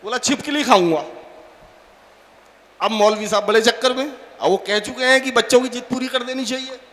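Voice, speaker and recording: A man's voice: very high voice, normal speed, a bit bright. A man from Bijnor hosting a poetry gathering on stage, telling a joke full of quoted voices. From Bijnor, India. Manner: storytelling with many quoted voices. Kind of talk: stage anchoring with a told joke. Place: large auditorium. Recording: long hall reverb.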